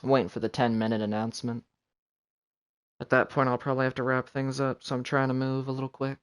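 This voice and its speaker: A man's voice: mid-range voice, flat delivery, fast talker, clear, resonant tone. A man giving casual live commentary while streaming a video game. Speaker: game livestreamer. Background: video game music.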